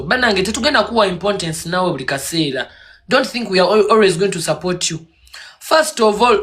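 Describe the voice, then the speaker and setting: A man on a live stream rants in a scolding, mocking tone, firing one accusation after another. His voice sits high for a man. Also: fairly bright tone. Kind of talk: heated rant on a live stream.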